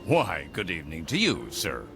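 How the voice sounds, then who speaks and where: An actor playing an eccentric older gentleman, a secretive mentor with a grandiloquent, fatherly manner in a theatrical radio drama. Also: low-pitched, huge pitch swings, moderate pace, gravelly, faint music behind, heavily acted. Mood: genial, knowing. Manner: grandiloquent, avuncular, emphatic. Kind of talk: theatrical audio drama acting.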